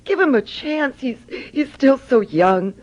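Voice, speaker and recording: A woman's voice: high-pitched, expressive, measured pace. A worried mother. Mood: pleading. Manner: pleading, anxious. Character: worried mother of a teenage son. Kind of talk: radio drama acting. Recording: dull radio broadcast.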